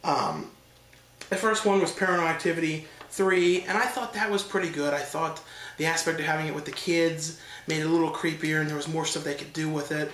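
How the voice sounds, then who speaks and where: A man's voice: fairly high pitch, brisk, a bit bright, roomy sound, slight background noise. A man with a stuffed-up nose from allergies giving a loose, rambling casual review, with many fillers and restarts. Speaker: horror film reviewer.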